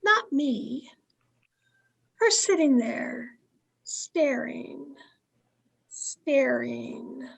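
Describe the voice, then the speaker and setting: Woman playing a high-voiced, creaky, domineering frightened wife. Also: expressive, heavily acted. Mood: hushed dread. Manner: imperious, dramatic, halting dread. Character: domineering, fearful wife haunted by a silent ghost. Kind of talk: old-time radio thriller acting.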